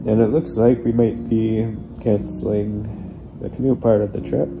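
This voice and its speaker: A man's voice: lowish voice, little pitch movement, normal speed, creaky, noisy background. A young man who is sick, talking casually to a camera while lying on a couch indoors. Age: young adult. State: sick, feeling rotten. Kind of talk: casual vlog chat. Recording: music behind, casual handheld recording.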